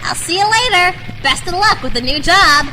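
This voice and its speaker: A tiny eager imp with a high, animated, chirping delivery. The messenger creature of an audio drama, heard over faint noise. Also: female voice, normal speed. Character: Yap, small eager flying imp messenger of hell.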